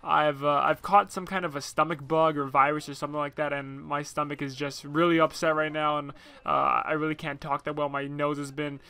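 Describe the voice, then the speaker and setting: A man's voice: a little high, little pitch movement, brisk, vocal fry, bright, forceful tone, clean tone. A young man with a stuffed-up nose, rambling apologetically through a gaming commentary voice-over with many fillers. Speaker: gaming YouTuber.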